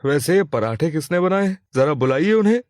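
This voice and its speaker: An earnest everyday man played by a male actor in a cartoon moral tale. His voice is on the high side and emphatic, recorded very dry. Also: lively intonation, a little fast, darkish tone, no room sound, lightly acted. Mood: appreciative. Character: appreciative male customers and concerned husband, everyday men. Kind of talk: cartoon moral story acting.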